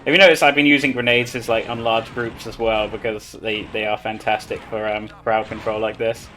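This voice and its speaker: A man's voice: on the low side, flat delivery, a little fast, pushed and bright. A man chatting casually as he plays a video game live and explains his moves. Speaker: speedrunner playing live. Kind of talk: casual chat while playing.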